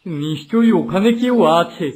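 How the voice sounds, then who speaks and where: A man playing a kind, soft-hearted poor father in a cartoon fairy tale, speaking in a plaintive, emotive way. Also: high voice, lively intonation, moderate pace. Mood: concerned, tender. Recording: faint background music.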